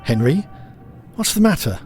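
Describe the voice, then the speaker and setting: Man playing expressive, authoritative doctor. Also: on the high side, normal speed, soft background music. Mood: reassuring. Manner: formal, commanding. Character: authoritative asylum doctor, courteous and resolute. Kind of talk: theatrical audio drama acting.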